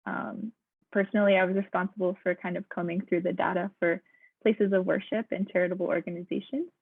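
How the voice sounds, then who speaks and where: A woman's voice: lowish voice, steady tone, fairly quick, breathy edge, dry recording. A young American woman giving an informal self-introduction as a graduate intern on an online call. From Pittsburgh area, United States. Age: young adult. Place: online meeting. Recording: thin, close, phone-like call audio.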